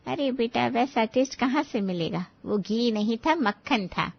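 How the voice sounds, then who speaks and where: Kindly middle-aged village aunt for a cartoon family tale, female actor, high voice, patient, slightly muffled recording. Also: expressive, unhurried, creaky, full character voice. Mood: friendly, explaining. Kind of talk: cartoon story dialogue.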